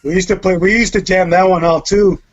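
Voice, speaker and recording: A man's voice: high voice, fairly even intonation, a little fast, dull audio. A man, a guest musician, chatting in an easygoing, enthusiastic way. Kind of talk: casual chat about music.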